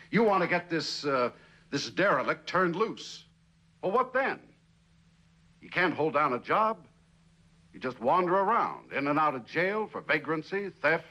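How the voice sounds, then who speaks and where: A middle-aged man playing a stern, cynical company boss in a 1960s television drama, lecturing in a measured, condescending way. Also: fairly high pitch, pushed and bright, muffled recording. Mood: pressing, impatient. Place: indoor living room set.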